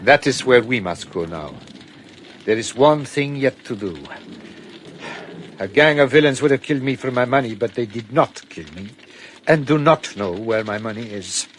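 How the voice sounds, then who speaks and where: A man's voice: medium pitch, animated, normal speed, a little hiss or hum, close to an everyday voice. An actor in a radio drama playing a proud older man who speaks solemnly and commandingly to his sworn friends.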